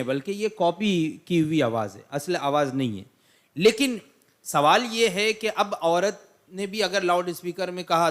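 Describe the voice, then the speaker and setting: A man speaking as an Islamic cleric, answering a religious question in a didactic, explanatory way with emphatic repetition. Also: a little high. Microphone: microphone through a loudspeaker system.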